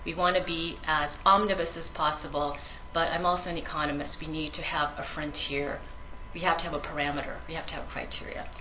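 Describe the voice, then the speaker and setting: A woman's voice: low-pitched, fairly even intonation, brisk, hardly any pauses, highs cut off, slight background noise. A woman researcher giving a conference presentation in an earnest manner, with frequent ums and restarts; her first language is Tagalog.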